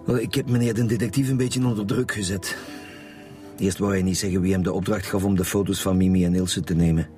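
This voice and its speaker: Low-voiced Flemish chief inspector played by a man. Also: fairly even intonation, brisk, mellow, faint music behind, lightly acted. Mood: smugly reporting. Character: male chief police inspector, calm, shrewd, dryly needling. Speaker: actor in a radio drama. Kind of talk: radio drama acting in Dutch.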